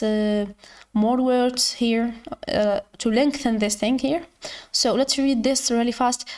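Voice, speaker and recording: A woman who is not a native English speaker, hosting an informal screen-share tutorial in a halting way, often repeating and correcting her words. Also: fairly high pitch, a little mellow. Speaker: tutorial host.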